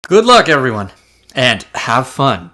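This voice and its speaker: A man's voice: mid-range voice, sing-song, a little slow, pushed and bright. A man playing an earnest, dorky, polite ghost in an online table read of a sitcom script. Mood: polite, chipper. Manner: eager, rambling, polite. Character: chipper, earnest, dorky male ghost who loves movies. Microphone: video call.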